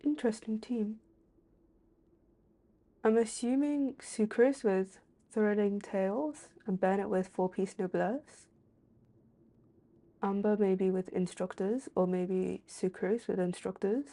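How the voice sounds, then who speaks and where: A woman's voice: medium pitch, takes it slowly, long pauses, mellow. A woman streaming a video game, giving casual commentary as she plays.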